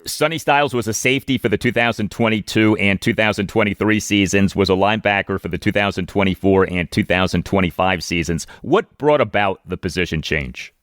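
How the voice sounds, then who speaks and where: An American man with a fairly low voice, recorded very dry. He works as a sports interviewer, setting up each prepared question with deliberate, emphatic and rather formal phrasing. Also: fairly bright tone, no room sound. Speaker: sports podcast host, interviewer.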